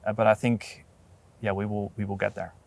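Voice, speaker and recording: A man in his thirties speaking in an outdoor interview, earnest and measured, with frequent restarts, on a lapel mic. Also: on the low side, level tone, gravelly. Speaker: port authority representative. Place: outdoors at an industrial port site.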